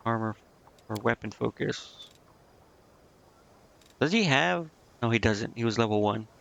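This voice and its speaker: A man's voice: mid-range voice, lively intonation, unhurried, gravelly, a bit bright, dull audio. A man giving casual live gameplay commentary, thinking aloud to himself in a hesitant, muttering way. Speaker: game streamer.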